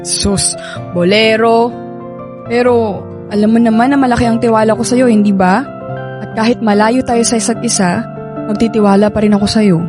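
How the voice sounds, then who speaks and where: A woman's voice: fairly high pitch, fairly even intonation, normal speed, pauses often, music in the background, natural voice. An actress playing a loving, fretful young Filipina girlfriend in a radio drama, speaking tenderly and pleadingly. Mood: teasing, trusting.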